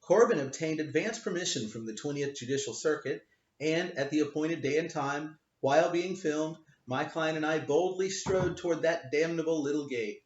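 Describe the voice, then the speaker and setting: Guy reading his own book out loud, dry wry asides, kinda muffled lo-fi mic. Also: on the high side, normal speed. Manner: wry, offhand, emphatic on punchlines. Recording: roomy, dull lo-fi home recording.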